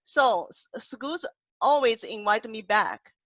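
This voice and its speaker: A woman translator co-hosting an online poetry panel, speaking with a heavy non-native accent and often pausing to search for words. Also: on the high side, animated, a bit bright, no room sound. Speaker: translator and co-host. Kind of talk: casual online panel discussion. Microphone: video call. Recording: dull, dry video call audio.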